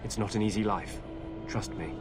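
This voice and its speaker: An actor playing a grave, weary fugitive mage in a video game, speaking in an earnest, guarded way. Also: male voice, fairly low pitch, fairly even intonation, normal speed, music in the background, lightly acted. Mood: somber resignation. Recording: noisy game ambience.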